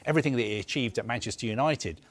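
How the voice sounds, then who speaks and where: A man's voice: mid-range voice, racing. A man giving live news analysis as a football reporter, earnest and emphatic, building his points through lists.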